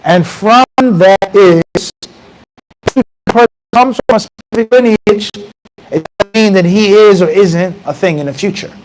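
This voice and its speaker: Animated high-voiced American mosque teacher. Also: male voice, unhurried, slight background noise, poor audio. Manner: animated, conversational, quotes Arabic passages. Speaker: mufti teaching a class. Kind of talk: mosque lecture. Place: small mosque room with bookshelves. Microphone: handheld mic close to mouth.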